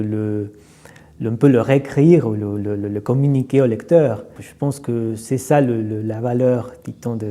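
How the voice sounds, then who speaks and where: Halting man with a dark voice. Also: mid-range voice. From Argentina. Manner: halting, many fillers and repeated words. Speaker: literary translator. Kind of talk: reflective interview.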